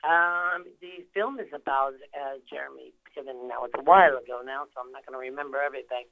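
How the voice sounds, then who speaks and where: A woman's voice: a bass voice, steady tone, a little fast, creaky, no room sound, narrow, tinny audio. Older woman guest calling in, rambling and chatty, dry close sound. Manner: chatty, rambling with many fillers and restarts. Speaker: actress guest. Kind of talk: phone-in interview. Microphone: phone call.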